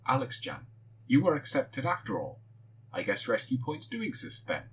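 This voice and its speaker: A man voicing a friendly, curious teenage schoolgirl who asks gentle, matter-of-fact questions. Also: mid-range voice, sing-song, moderate pace, slight background noise, pitched above the performer's own voice.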